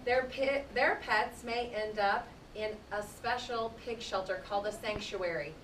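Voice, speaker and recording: A woman's voice: a little slow, fairly bright tone. A woman teacher reading aloud to a class of children, explaining things conversationally and addressing them directly. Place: indoor room, speaking to a class. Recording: roomy, distant mic with rumbling noise.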